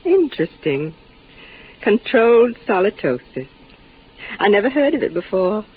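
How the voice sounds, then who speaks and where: A woman's voice: mid-range voice, sing-song, a little slow, breathy edge. An actress playing a young, intelligent woman ship's doctor in a 1950s radio drama, composed and probing. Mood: cool, curious. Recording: tinny, noisy 1950s radio recording.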